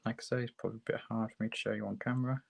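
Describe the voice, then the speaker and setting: A man with a hoarse sore throat, hosting a relaxed, chatty livestream tutorial while building a model kit at his workbench. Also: on the low side, rapid-fire, very noisy. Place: hobby workbench, filmed from overhead.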